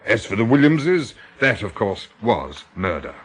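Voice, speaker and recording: An actor playing an opinionated, self-assured amateur theorist in an audio drama, expounding with pompous emphasis. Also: male voice, on the low side, very animated, unhurried.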